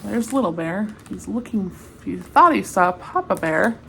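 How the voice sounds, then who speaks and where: A woman's voice: medium pitch, expressive, measured pace, vocal fry, slight background noise. A woman reading a children's picture book aloud expressively, with chatty asides and exclamations of wonder. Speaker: read-aloud storyteller.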